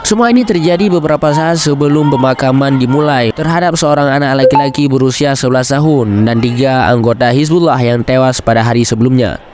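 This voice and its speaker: A man's voice: medium pitch, fairly quick, heavy background noise. A man reading scripted news narration in a formal, explanatory manner.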